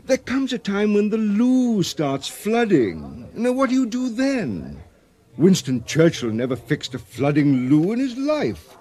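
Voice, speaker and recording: An actor with a British accent playing a sensible, orderly professor and blunt friend in a radio drama, speaking in a brisk, matter-of-fact way. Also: male voice, sits high, huge pitch swings, warm tone, full character voice. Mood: frank, reassuring. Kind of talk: radio drama dialogue acting.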